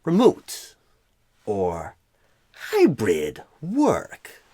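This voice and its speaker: A clueless, eccentric job recruiter, acted out by a man in an ASMR roleplay. His voice is husky and breathy, often sinking into a whisper, and it swings wildly up and down. He rambles slowly, breaking sentences in odd places. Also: mid-range voice, wildly swooping pitch, dark tone, no echo, clean studio sound, full character voice. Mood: earnest, halting. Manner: halting, oddly broken phrasing, rambling.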